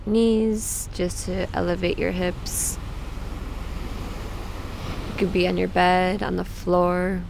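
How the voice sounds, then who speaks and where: Slow-paced woman guiding a meditation. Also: lowish voice. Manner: slow, pausing, soothing instruction. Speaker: guided meditation host. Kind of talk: guided meditation.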